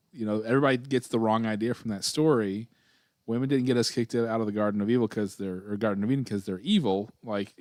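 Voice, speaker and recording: A man's voice: lowish voice, no room sound, studio quality. A man chatting casually and riffing on jokes like a comedian, rambling and repeating himself as he builds them. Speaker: comedian, podcast co-host. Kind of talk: casual chat, comic riffing.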